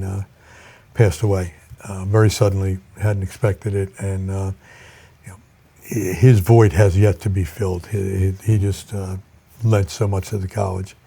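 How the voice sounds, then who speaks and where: An older man speaking as a college president in an interview, reflective and measured, with halting pauses. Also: on the low side, vocal fry, mellow.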